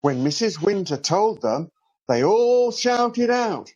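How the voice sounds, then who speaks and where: A man narrating a children's storybook in an expressive, drawn-out storytelling delivery. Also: high voice, highs cut off. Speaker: author reading a children's story.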